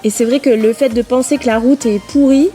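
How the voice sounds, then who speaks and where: A fairly high-voiced woman talks casually in a travel vlog, self-mocking and filling pauses with hesitant sounds. She is recorded outdoors on a camera mic, with faint music underneath. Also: steady tone, warm tone. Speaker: vlogger. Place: outdoors in hot weather.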